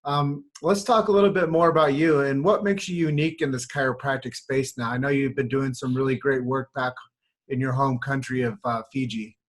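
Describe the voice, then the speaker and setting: A man's voice: mid-range voice, steady tone, a little fast. A man hosting a podcast interview, asking friendly, upbeat questions into a studio mic. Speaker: podcast host. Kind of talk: casual interview.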